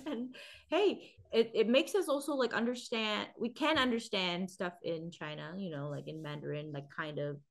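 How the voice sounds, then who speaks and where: A woman's voice: normal speed, creaky. A young woman from Macau co-hosting a podcast over a video call, chatting animatedly and laughing as she reads comments. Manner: animated, laughs often, swings high when mocking. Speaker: podcast co-host. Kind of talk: casual chat reading comments. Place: indoor room, video call split screen.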